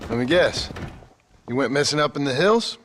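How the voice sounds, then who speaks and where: An actor playing a laconic former outlaw cowboy with an American accent, dry, courteous and menacing, in a video game cutscene. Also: male voice, medium pitch, animated, normal speed, a bit bright, a little hiss or hum, lightly acted. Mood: calm, sombre.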